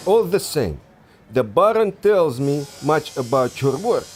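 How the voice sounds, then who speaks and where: Zealous archaeologist schemer, highish voice, very animated, formal, radio play. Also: male voice, unhurried. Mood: suave, persuasive. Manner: theatrical, formal, grandiose. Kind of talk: radio adventure drama acting.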